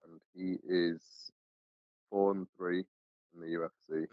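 Brief: deep-voiced man, hesitant and chuckling, casual sports chat, muffled call audio. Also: little pitch movement, a little slow, dry recording. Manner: hesitant, many ums and chuckles. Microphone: online call. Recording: dull, dry online call audio.

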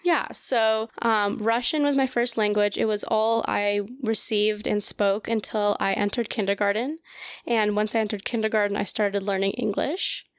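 A woman's voice: mid-range voice, fairly even intonation, normal speed, clean tone, dry recording, clean studio sound. An American woman in her early twenties chatting informally as an interview guest, often dropping in "like". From Colorado, United States. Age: early 20s. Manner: informal, peppered with "like". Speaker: podcast guest, graduate student. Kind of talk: casual interview chat.

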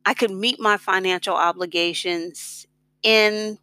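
A woman's voice: medium pitch, fairly even intonation, a little slow, pushed and bright, dry recording. A middle-aged American woman telling her own story in a casual, reflective, conversational way. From United States. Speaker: blogger on expat life and personal finance.

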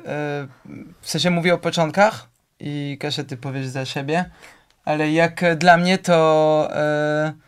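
A young man with a French accent, speaking Polish with a smooth, clear voice. He talks in a hesitant, halting way, often pausing with fillers while he searches for words. His voice sits somewhat high for a man. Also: steady tone. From France. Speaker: interview guest. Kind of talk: casual conversation.

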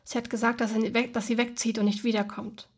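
A blunt young man, read by a woman, answers calmly and without fuss. His voice is slightly high and close to a plain reading voice. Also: brisk, slightly rough.

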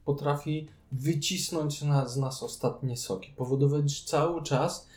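A man's voice: a little high, fairly even intonation, a little slow, a little mellow. A man in casual conversation, co-hosting a podcast and giving his opinions as a reviewer. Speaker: podcast co-host reviewing board games. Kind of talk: casual chat, board game review.

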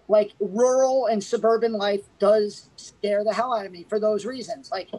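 A woman's voice: medium pitch, a little mellow, poor audio. A woman co-hosting a casual movie review podcast, talking eagerly and thinking aloud as she goes. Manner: chatty and enthusiastic, thinking aloud. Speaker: podcast co-host.

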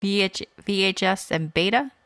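A woman playing a blunt, serious accuser in improvised comedy, deadpan and pointed. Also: mid-range voice, normal speed, no room sound, clean studio sound, close to an everyday voice. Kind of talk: improvised comedy character acting.

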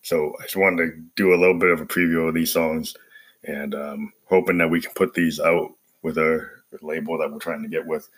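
Male musician-host, very low pitch, hoarse with a cold, casual halting tutorial delivery. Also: flat delivery. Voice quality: ragged voice. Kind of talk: casual music production walkthrough.

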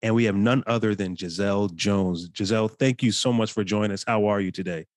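A man's voice: on the low side, a little fast, dry recording, studio quality. A man hosting an interview podcast in a casual, conversational style. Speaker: podcast host.

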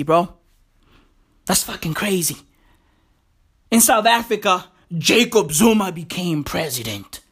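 A woman's voice: a little high, slightly rough, heavily acted. A woman playing a cocky young man nearing thirty in a comic monologue. He swears a lot and keeps saying "bruv" and "legit". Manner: brash, swears often, repeats slang like bruv and legit.

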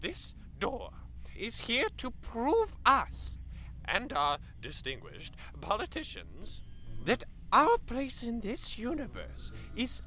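A man playing a lofty, lively-voiced professor. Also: extremely high pitch, full character voice. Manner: lofty, musing, lecturing delivery. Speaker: professor interviewed on a broadcast.

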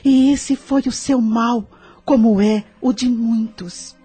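An actress with a Brazilian accent playing a patient, wise spirit mentor in a radio drama, measured, reassuring and instructive. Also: female voice, fairly high pitch, steady tone, mellow, husky, faint music behind, full character voice.

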